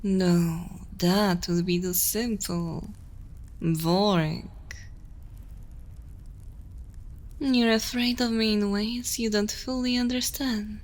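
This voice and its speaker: An evil queen acted by a woman speaks extremely slowly and with total command. She is seductive and menacing with a motherly edge, often sinking into intimate whispers in an ASMR roleplay style. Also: mid-range voice, steady tone, a little mellow, lightly acted. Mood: teasing menace. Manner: slow, deliberate, dominant, often dropping into whispers.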